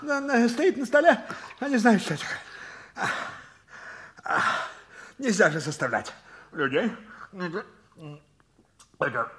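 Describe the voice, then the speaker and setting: An actor playing an irascible, wealthy older godfather in a 1960s radio play, spluttering and halting with indignation. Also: sits high, huge pitch swings, a bit bright, heavily acted. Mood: indignant.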